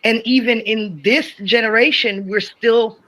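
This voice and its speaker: Bright-voiced earnest woman talking. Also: a little high, fairly even intonation, measured pace, poor audio. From Germany. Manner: earnest, keeps saying "you know what I'm saying". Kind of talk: casual conversation.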